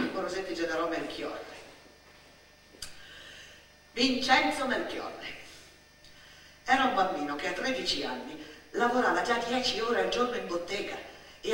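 A woman narrating as a stage storyteller, in measured short phrases with pauses between them. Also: mid-range voice, fairly bright tone. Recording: echoey hall from far off, noisy.

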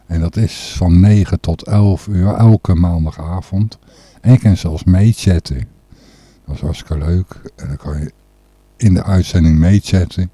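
Very dark, low-voiced male podcast host. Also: a little slow, husky, dry recording. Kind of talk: informal, unscripted radio presenting.

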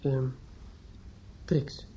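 An actor in a radio drama playing a despairing, obsessive judge's son who is outwardly polite and inwardly bitter. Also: male voice, mellow, a little hiss or hum. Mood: hesitant, tense. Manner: halting and hesitant with others, brooding and repetitive when alone. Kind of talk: radio drama acting with interior monologue. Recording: dull lo-fi old radio play recording.

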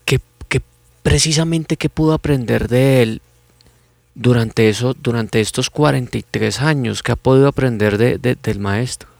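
Male host with mid-range voice, halting, repetitive questions. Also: mid-range voice, vocal fry, a little mellow, no room sound. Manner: rambling, repeats words. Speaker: interviewer host. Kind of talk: interview conversation.

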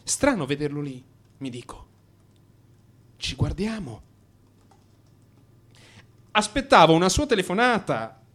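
An actor playing a troubled, melancholy man in crisis in a radio drama monologue, brooding and introspective, blaming himself in halting, repeated phrases. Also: on the high side, sing-song, a bit bright, full character voice. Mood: anxious, lost.

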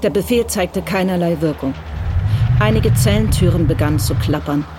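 A woman narrating a radio crime drama in a calm, measured way. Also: on the low side, heavy background noise.

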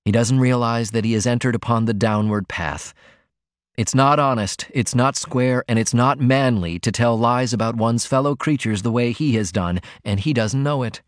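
Man playing a weary, ironic man, medium pitch. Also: no echo, studio quality, natural voice. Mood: mock moralising. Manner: soft-spoken, deliberate, ironic. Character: weary, wry man, calm and quietly contemptuous.

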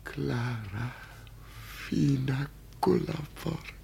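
A man's voice: mid-range voice, drawn-out words, vocal fry, warm tone, full character voice. An actor playing an old man who believes he is an emperor, speaking with solemn, tremulous grandeur in a vintage radio drama. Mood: anxious, relieved. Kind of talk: 1950 German radio drama acting. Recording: noisy vintage 1950 radio recording.

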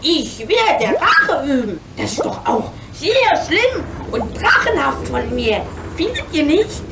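A woman playing a whiny, childlike young male half-dragon with a shrill, plaintive voice in a children's radio play. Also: very animated, takes it slowly, heavily acted. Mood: conspiratorial, proud. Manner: theatrical, childlike, exclamatory. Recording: roomy, distant, loud background noise.

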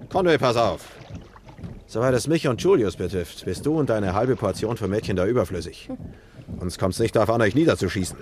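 A man's voice: lowish voice, animated, a little fast, darkish tone, slight background noise, lightly acted. A man playing a menacing crook with a gun, sneering and threatening in a 1960s German radio drama. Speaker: villain.

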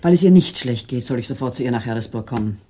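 A bitter, jealous wife in an old radio drama, firing off quick, accusing lines. There is a faint hiss behind her. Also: very deep voice, lively intonation. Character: jealous, bitter wife just home from hospital. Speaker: wife, Clara. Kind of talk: 1980s German radio play acting.

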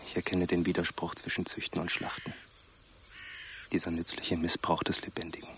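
A man's voice: low-pitched, monotone, moderate pace, fairly bright tone, slight background noise. An actor playing a grave, idealistic man in a radio drama, solemn and declamatory. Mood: grave, resolute. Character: grave, idealistic man planning a herder's life. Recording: faint insect and bird ambience.

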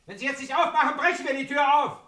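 High-pitched shouting policeman. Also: fast talker, echoey room, heavy background noise. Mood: urgent, commanding. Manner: shouting, urgent. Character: forceful policeman shouting orders at a door. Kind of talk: German radio crime drama acting.

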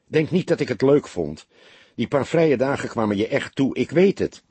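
Guy doing unsure young cop in an audiobook, hesitant n earnest, husky, dry sound. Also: medium pitch, animated, moderate pace, darkish tone, no room sound, pitched above the performer's own voice. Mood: timid, downcast. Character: insecure, sensitive young male police detective.

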